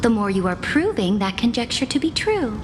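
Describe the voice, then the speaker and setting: A woman's voice: fairly high pitch, big pitch swings, moderate pace, heavy background noise. An actress playing a proud, cunning female emperor in a video game, speaking in a haughty, commanding and formal way. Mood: scornful. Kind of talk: scripted video game voice acting. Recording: long reverb, loud music behind.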